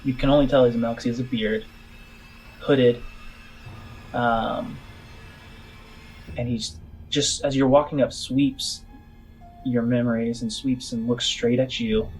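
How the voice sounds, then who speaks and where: A man narrating as game master of a tabletop role-playing game, phrasing things haltingly and deliberately with pauses. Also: medium pitch, vocal fry, rough recording. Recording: music behind the voice. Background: music.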